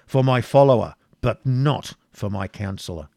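A man's voice: mid-range voice, animated, normal speed, vocal fry, dry recording, studio quality, voice pushed up. A man voicing a haughty, petulant prince in a storybook reading, with a pompous, emphatic delivery. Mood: haughty, irritated.

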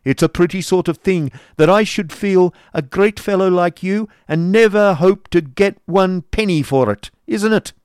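A man playing a loud, testy schoolmaster. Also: fairly high pitch, sing-song, normal speed, no echo, clean studio sound, voice pushed up. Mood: testy hectoring. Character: coarse bullying middle-aged male schoolmaster.

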